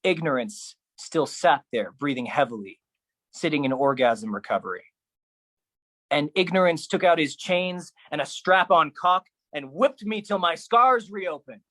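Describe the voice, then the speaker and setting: A man's voice: fairly high pitch, expressive, a little slow, pushed and bright, no echo, rough recording. Man performing dramatic spoken-word monologue, dry sound.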